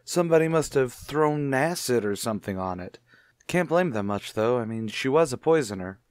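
An actor playing an uneasy, protective householder under questioning in a radio mystery, hesitant and defensive. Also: male voice, mid-range voice, lively intonation, moderate pace, dry recording, studio quality, close to an everyday voice. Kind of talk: retro radio mystery acting.

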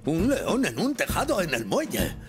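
Older self-important station boss, cartoon bluster, very animated delivery. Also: male voice, fairly high pitch, moderate pace, over loud music. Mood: alarmed. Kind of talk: dubbed children's cartoon acting.

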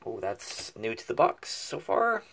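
Slow, creaky-voiced man opening card packs. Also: mid-range voice, very animated, fairly bright tone, slight background noise. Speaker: card pack opening host. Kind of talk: casual unboxing commentary. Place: indoors at a table covered with cards.

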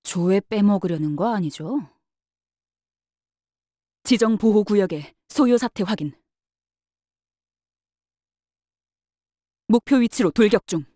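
A woman voicing a brisk young female knight-bodyguard in a game, polite but curt, with a faintly sardonic, matter-of-fact delivery. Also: medium pitch, expressive, mellow, no echo, studio quality. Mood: firm, alert. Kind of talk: scripted game character voice lines.

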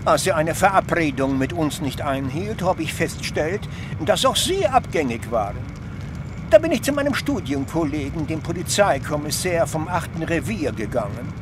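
A man with a Viennese accent playing a brisk, dutiful helper in a German audio drama, speaking colloquially. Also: a little high, very animated, background noise. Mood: earnest, explaining.

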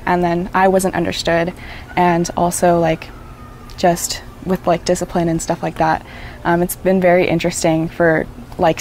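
A woman's voice: fairly low pitch, a little mellow, a little hiss or hum. A young woman whose first language is Japanese, talking reflectively in an outdoor documentary interview on a lapel mic. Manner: reflective, many fillers. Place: outdoors by a wooden wall.

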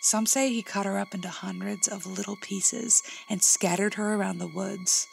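An actress plays a superstitious cousin in a horror audio drama. She is pushy and teasing, mid-pitched, with a creaky, fry-edged voice. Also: steady tone, moderate pace, a little hiss or hum, low-quality recording. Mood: ominous, gossipy.

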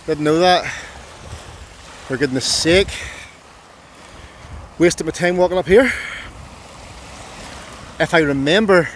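A man's voice: fairly high pitch, a little hiss or hum. A man vlogging outdoors on a beach, chatty and self-mocking, grumbling a little when plans fail. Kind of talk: casual vlog narration. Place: outdoors on a beach and by roadside caves.

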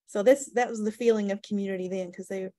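A woman's voice: medium pitch, fairly even intonation, fast talker, warm tone, dry recording. Chatty woman telling local history. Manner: chatty, informal storytelling. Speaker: tourism director. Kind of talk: webinar presentation. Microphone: video call.

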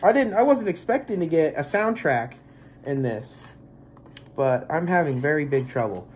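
A man's voice: fairly high pitch, lively intonation, normal speed, a little mellow, a little hiss or hum. A young man in his twenties, stuffy from allergies, rambling casually through a movie unboxing in a small bedroom, recorded on a close webcam mic. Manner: rambling, filler-heavy, easygoing. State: congested from allergies. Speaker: movie collector vlogger.

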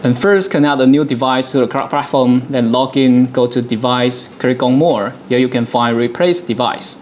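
A man in his thirties presenting a software demonstration, explaining things step by step in a dialogue. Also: medium pitch, fairly even intonation, moderate pace, slight background noise. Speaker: product presenter.

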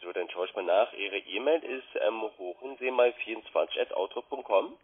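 A man's voice: on the low side, a little fast, breathy edge. A man working as a call centre sales agent, giving polite, eager patter and asking question after question. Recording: muffled, band-limited phone line.

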